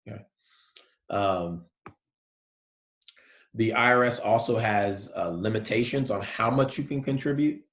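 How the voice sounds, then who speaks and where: A male college professor giving a relaxed, soft-spoken lecture online, with many fillers. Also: lowish voice, unhurried, long pauses, roomy sound.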